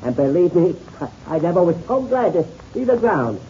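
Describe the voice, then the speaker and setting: An actor playing an excitable, easily rattled, folksy American airman sidekick with a stammer, in 1930s radio serial style. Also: high voice, normal speed. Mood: relieved amazement. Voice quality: stammering delivery. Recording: muffled, noisy old radio recording.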